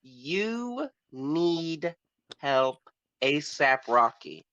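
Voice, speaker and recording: A man's voice: fairly high pitch, big pitch swings, drawn-out words, fairly bright tone, smooth, no room sound, lo-fi sound. A man chatting casually as a panel host, with a sassy, blunt, slangy manner. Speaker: host on a pop culture panel show. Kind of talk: casual chat.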